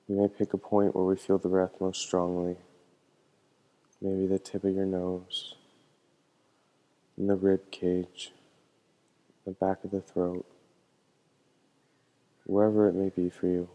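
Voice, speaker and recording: A man with a low voice guides a meditation in calm, measured instructions. His tone is soft-edged and mellow, and his pitch stays very flat and even. He speaks gently, as a meditation teacher does.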